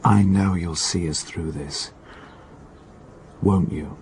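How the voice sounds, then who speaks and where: An actor playing an insinuating, intimate schemer in a radio drama, with a drawling manner. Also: male voice, low-pitched, steady tone, slow pace, darkish tone, a little hiss or hum. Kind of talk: BBC radio drama acting.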